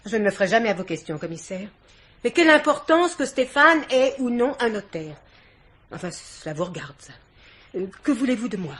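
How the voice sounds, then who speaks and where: An actress playing an assertive society hostess in a vintage French radio mystery, haughty, chatty and insistent. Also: female voice, a little high, big pitch swings, brisk, fairly bright tone, husky, full character voice. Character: assertive society hostess, a young woman's aunt. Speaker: actor.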